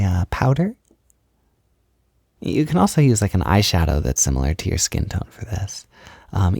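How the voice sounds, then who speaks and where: A man speaking softly and intimately in a roleplay, gentle and reassuring as if guiding someone through a task, at times close to a whisper. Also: mid-range voice, vocal fry, no echo. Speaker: roleplay audio performer. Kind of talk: soft-spoken intimate roleplay, like a personal makeup tutorial.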